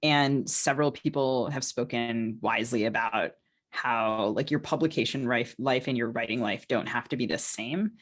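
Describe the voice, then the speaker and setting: A woman's voice: very deep voice, moderate pace. A woman talking casually as a podcast co-host and novelist, informal and self-deprecating, often feeling her way toward a phrase. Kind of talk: casual podcast conversation about writing.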